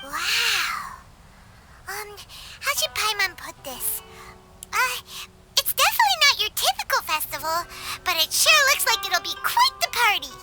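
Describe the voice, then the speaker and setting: Tiny, squeaky excitable girl companion. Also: unhurried, over music, heavily acted. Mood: curious, excited. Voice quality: squeaky, childlike. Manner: exclaiming, speaks of herself in third person. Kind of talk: video game voice acting.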